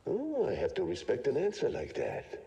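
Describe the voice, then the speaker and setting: A man's voice: fairly high pitch, wildly swooping pitch, normal speed, gravelly, a crowd in the background, full character voice. A man voicing a sly, amused raider in a video game, with a wry, intrigued manner. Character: sly, amused raider who admires destruction. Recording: long reverb, faint game ambience.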